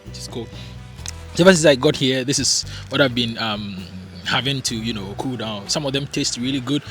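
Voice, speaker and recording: A young man from Ghana chatting casually in a vlog, animated and full of rhetorical questions and fillers, recording at home. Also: medium pitch. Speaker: vlogger. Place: home in hot, humid climate.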